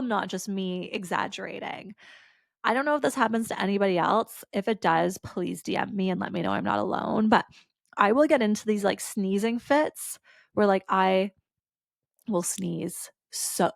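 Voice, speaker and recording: A woman's voice: mid-range voice, normal speed, gravelly, dry recording, studio quality. A woman hosting a solo podcast, talking casually with lots of "like" fillers, her voice stuffy and congested. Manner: chatty, casual, with frequent "like" fillers. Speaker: podcast host.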